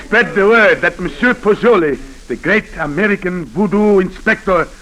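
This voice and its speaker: A man playing a commanding, sardonic Haitian official, theatrical and measured, in 1940s radio drama style. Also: sits high, lively intonation, bright, forceful tone, rough recording. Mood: imperious.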